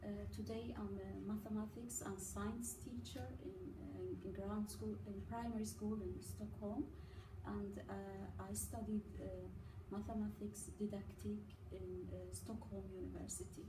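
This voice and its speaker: A woman's voice: mid-range voice, flat delivery. Woman from Iraq presenting, echoey and far. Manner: halting, many uh fillers, careful delivery. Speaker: student presenter. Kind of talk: reading a prepared presentation. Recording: echoey room from a distance with noise.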